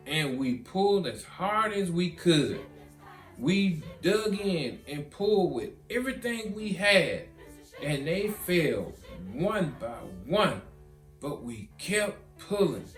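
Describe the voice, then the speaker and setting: Animated, unhurried man reading a storybook. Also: sits high. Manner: animated, dramatic pauses. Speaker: author reading own children's book. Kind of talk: expressive storybook narration. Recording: roomy echo, guitar music behind.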